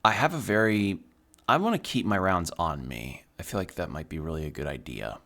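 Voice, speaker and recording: Deep-voiced sweary Midwestern-accented streamer. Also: male voice, lively intonation, fairly quick, vocal fry, fairly bright tone. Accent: Midwestern American. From Texas, USA. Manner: rambling, sweary, talks while playing. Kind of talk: casual let's-play chat.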